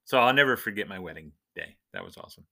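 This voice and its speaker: A middle-aged man with a bright, punchy voice at an ordinary male pitch, slightly on the low side. He hosts a casual podcast and tells his stories chattily, building up his points with emphasis. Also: wildly swooping pitch, fast, creaky. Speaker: podcast host.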